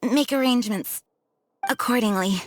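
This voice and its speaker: A woman playing a dutiful, courteous, overworked young knight commander in a video game, speaking formally and haltingly. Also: a little high, dry recording, studio quality, natural voice. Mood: weary, courteous. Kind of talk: English video game voice acting.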